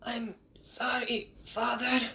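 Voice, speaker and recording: A woman voicing a dying young male swordsman, weak and apologetic, in a halting whisper. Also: on the high side, level tone, creaky, pushed and bright, full character voice. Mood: weak, remorseful.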